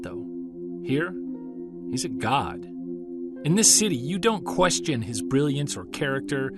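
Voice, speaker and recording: Wry amateur investigator, self-deprecating, very lively intonation, conversational audio drama delivery over music. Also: male voice, mid-range voice. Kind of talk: audio drama narration and casual dialogue.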